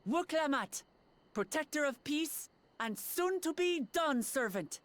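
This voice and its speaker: A woman's voice: high voice, lively intonation, measured pace. A woman voicing an earnest, bold young princess in a fantasy game, with a determined, declarative delivery. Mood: resolute. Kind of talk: fantasy video game acting. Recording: game music behind the voice. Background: music.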